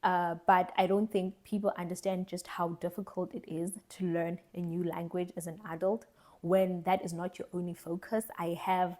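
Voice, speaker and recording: A young woman from South Africa giving a candid, reflective vlog monologue, earnest and thoughtful, often pausing and restarting her words. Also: fairly low pitch, darkish tone. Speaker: vlogger.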